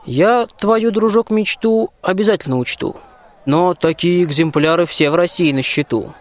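A man's voice: sits high, normal speed, close to an everyday voice. A young man playing a bold, plain-spoken soldier in a rhymed folk-tale radio play, with a declamatory folksy delivery. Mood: consoling, boastful. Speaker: student actor in a school radio play.

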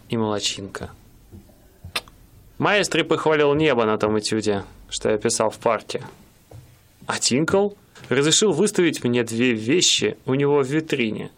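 A proud young painter in a radio play, wry and self-mocking about his hard times, speaking in a middle-pitched man's voice. He grumbles and jokes in turn, as an actor voices him. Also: a bit bright.

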